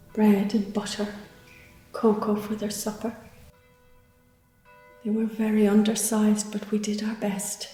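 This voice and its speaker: Casting: woman playing wistful, matter-of-fact ex-home worker, mellow and even, testimony drama, echoey with faint music. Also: medium pitch, close to an everyday voice. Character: matter-of-fact former mother and baby home worker, reminiscing. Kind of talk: dramatised first-person testimony.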